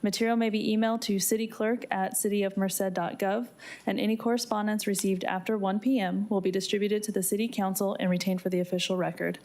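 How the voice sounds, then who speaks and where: A woman's voice: medium pitch, flat delivery, quick pace, darkish tone. A woman working as a city clerk, reading formal scripted announcements in an official, procedural way. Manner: procedural, official read-aloud delivery.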